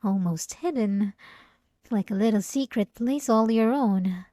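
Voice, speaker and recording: A woman playing a sweetly devout, possessive young church girl in a scripted ASMR roleplay, soft-spoken and halting, with sugary politeness and an unsettling edge. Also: on the high side, no room sound, natural voice. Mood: sweet, solicitous. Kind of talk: scripted ASMR roleplay monologue addressed to the listener.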